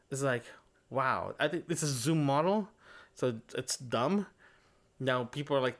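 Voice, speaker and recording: Male podcast host, mid-pitched voice, loose rambling audio-diary delivery, lots of restarts. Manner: rambling, many restarts and fillers. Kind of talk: casual solo audio diary. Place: apartment room.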